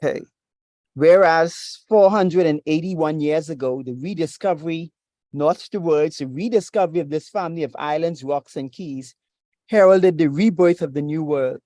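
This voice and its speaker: A preacher from the Bahamas, teaching in a measured, deliberate way over a video call. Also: medium pitch, mellow, no echo. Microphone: video call with screen share.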